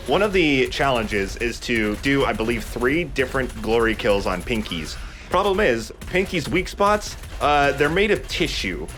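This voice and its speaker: A man's voice: medium pitch, fairly bright tone. A man hosting a let's play, giving casual, explanatory gameplay commentary.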